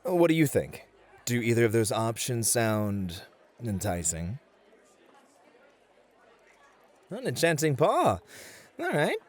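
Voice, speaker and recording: A man's voice: mid-range voice, huge pitch swings, measured pace. A man playing a confident, charming bunny host at a club in a flirty ASMR roleplay, breezy and courteous with showy flourishes. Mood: courteous, playful.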